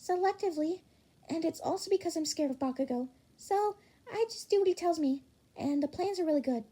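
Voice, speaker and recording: A woman voicing a shy, soft-hearted teenage boy in an audiobook, meek and earnest. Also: extremely high pitch, a little fast, voice pushed up. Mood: timid.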